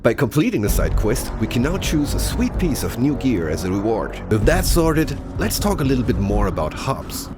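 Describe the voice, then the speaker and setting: A man's voice: medium pitch, sing-song, moderate pace, few pauses. A man presenting scripted promotional narration for a video game broadcast, polished and explanatory. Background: music.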